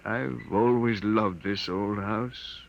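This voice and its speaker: A male actor playing a lonely, elderly gentleman scientist in a 1950s radio drama, speaking formally and haltingly, often repeating his words. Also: lowish voice, fairly even intonation, vocal fry. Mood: grave, sorrowful. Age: older. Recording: dull vintage 1950s radio broadcast.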